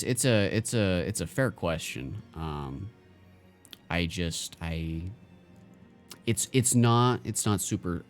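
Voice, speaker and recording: A male streamer in casual live-stream chat, answering viewers in a halting, think-aloud way. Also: deep voice.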